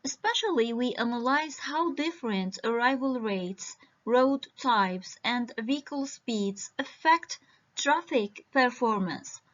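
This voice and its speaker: A woman from Iraq giving an academic conference presentation over a video call, halting and full of hesitations. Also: on the high side, animated, fairly bright tone. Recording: muffled lo-fi video-call audio.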